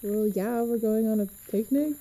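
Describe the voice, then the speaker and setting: A woman playing an easygoing, reassuring teenage girl who talks gently and casually in a podcast drama. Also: fairly high pitch, normal speed, poor audio. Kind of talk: fiction podcast acting.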